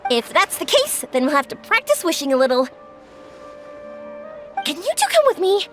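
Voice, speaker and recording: A bubbly young woman from an anime-style game. Her voice is high and lively, swinging up and down as she chats in a kind, encouraging, upbeat way. Clear music plays behind her. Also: moderate pace, exaggerated voice. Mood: excited, playful. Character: cheerful young woman, talkative, kind, endlessly positive fireworks maker.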